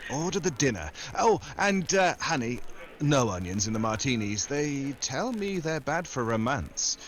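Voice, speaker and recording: An actor playing a glib, flirtatious American secret agent in a 1940s-style radio drama, smooth and wisecracking. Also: male voice, mid-range voice, very animated, a little slow. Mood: flirty, evasive.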